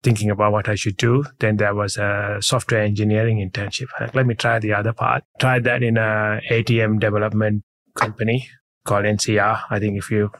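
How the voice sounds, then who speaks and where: A man from Sri Lanka telling his career story in a relaxed, matter-of-fact way as an interview guest. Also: fairly low pitch, fairly quick. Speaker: guest, head of data. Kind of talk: casual interview chat.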